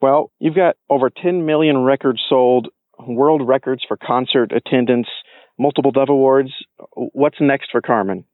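A man's voice: mid-range voice, normal speed, no room sound, narrow, tinny audio. Polite male interviewer, very dry sound. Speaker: interviewer, show host. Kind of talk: prepared interview questions.

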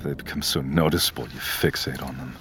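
Very deep, brooding male narrator. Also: steady tone, a little fast. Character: dark, troubled scarred male captive, narrating his own ordeal. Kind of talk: audio drama narration and dialogue.

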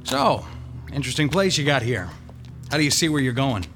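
A level-headed county detective, dry and matter-of-fact in a middle-pitched voice. Music plays clearly behind this radio drama. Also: male voice, very animated, fairly quick, fairly bright tone.

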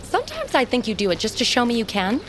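A woman's voice: a little high, huge pitch swings, fast, over music. An actress playing a cheeky, wry young outcast woman in dramatic audio drama style. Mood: exasperated.

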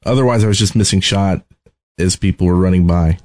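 A man's voice: deep voice, a little fast, darkish tone. A man co-hosting a podcast, chatting casually about video game strategy. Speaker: podcast co-host.

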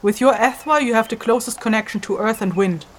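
A woman playing a stern, exacting female spirit ritualist in a fantasy audio drama, with a measured, instructive, commanding manner. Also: fairly high pitch, close to an everyday voice.

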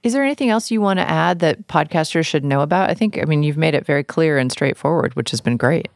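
A woman's voice: deep voice, animated, quick pace, gravelly, no echo, clean studio sound. A woman hosting an interview podcast in a conversational style.

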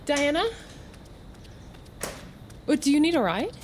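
An actress playing a breezy, quietly manipulative woman in a naturalistic audio drama, chatty, coy and insistent. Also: high-pitched, very animated, slow pace, slight background noise, exaggerated voice. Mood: placating.

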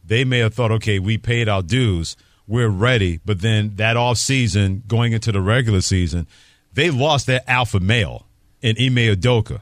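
A man with a fairly low voice in a very dry studio sound. He hosts sports talk radio and leans on repeated phrases to make his points emphatically. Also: normal speed, no room sound. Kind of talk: sports talk commentary and show promos.

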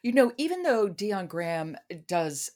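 A woman's voice: medium pitch, big pitch swings, measured pace, dark tone. A woman hosting a podcast in a conversational book discussion. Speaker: podcast host.

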